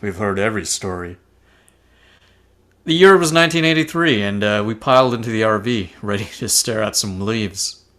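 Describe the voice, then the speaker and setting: A man's voice: on the low side, normal speed, fairly bright tone, lightly acted. A man playing a cheerful, wholesome scoutmaster ghost in an amateur table read, earnest and rambling. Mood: chatty. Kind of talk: amateur table read over video call.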